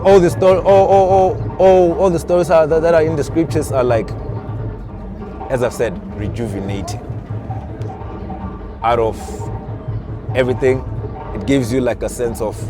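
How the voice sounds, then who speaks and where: A man chatting earnestly outdoors near traffic, often stopping to hunt for the right word. Music plays loudly behind him throughout. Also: mid-range voice. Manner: searching for words, repeats himself, earnest. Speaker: interviewee, spiritual seeker. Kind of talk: casual conversation. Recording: music playing loud behind.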